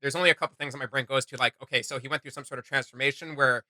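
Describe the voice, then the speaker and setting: Male reaction host: bright, forward voice, medium pitch, joking and rambling, casual. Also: rapid-fire, no room sound, studio quality. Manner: animated, joking, rambling asides.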